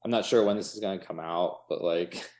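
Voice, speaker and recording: A man's voice: low voice, a little fast, darkish tone. An American man talking casually in an interview, thinking aloud in loose fragments peppered with "like" and "you know". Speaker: coffee shop owner being interviewed.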